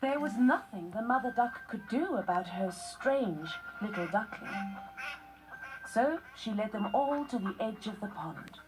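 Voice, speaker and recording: A woman narrating a children's story from a script in measured phrases with short pauses. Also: fairly high pitch, expressive, noisy background. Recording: music behind the voice. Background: music.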